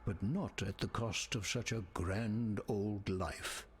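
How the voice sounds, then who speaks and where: An actor playing a grave, scholarly man in a fantasy video game, speaking in measured, literary phrases with a husky voice. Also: lowish voice, a little hiss or hum. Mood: solemn, reproachful. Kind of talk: scripted video game dialogue.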